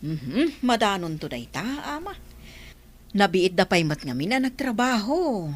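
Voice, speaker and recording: A woman's voice: mid-range voice, very animated, a little slow. A woman playing a kindly older village aunt who carries messages in a dramatised story.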